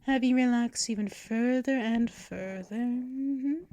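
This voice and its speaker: A woman voicing a possessive female vampire mistress in an ASMR roleplay, talking in soft, teasing whispers up close to the mic. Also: a little high. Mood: soothing. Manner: slow, drawn-out delivery.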